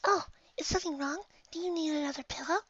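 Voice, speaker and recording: A performer voicing a shy, naive, gentle female pegasus pony in a soft, hesitant, breathy voice for a fan story reading. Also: sits high, fast talker, no echo, voice pushed up. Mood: gentle, earnest. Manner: timid, hesitant, mild. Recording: slightly dull home recording.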